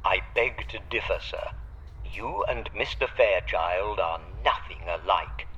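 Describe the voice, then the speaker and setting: A man's voice: deep voice, a little slow, pushed and bright, breathy edge, muffled recording, full character voice. A man playing a loyal, wry butler in an audio drama, formal and sardonic, heard through a radio filter. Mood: earnest, protective. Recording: band-limited radio link with noisy hiss.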